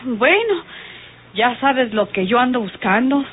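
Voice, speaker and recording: A woman's voice: fairly high pitch, expressive, unhurried, husky. An actress playing a brash, sardonic Mexican woman of the streets in an old radio drama. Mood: bitter. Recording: tinny old radio recording.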